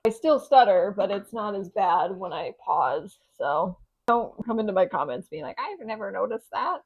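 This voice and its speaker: A woman's voice: medium pitch, animated, normal speed, darkish tone, husky, poor audio. A young woman vlogging casually on a chest lapel mic, chatty and rambling, with a slight lisp and stutter. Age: young adult. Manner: chatty, rambling, restarts often. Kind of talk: casual vlog chat. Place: home, indoors.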